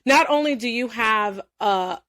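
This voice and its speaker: A woman's voice: fairly high pitch, animated, unhurried, a bit bright, no room sound, clean studio sound. A woman hosting a podcast, asking questions in an upbeat, chatty way and laughing easily. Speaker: podcast host. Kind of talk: casual interview chat.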